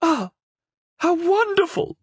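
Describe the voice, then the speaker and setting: A shrill, excitable young queen in a dry, close storybook reading. She is imperious yet giddy, with her exclamations leaping high. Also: moderate pace, slightly rough, dry recording, heavily acted. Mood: delighted.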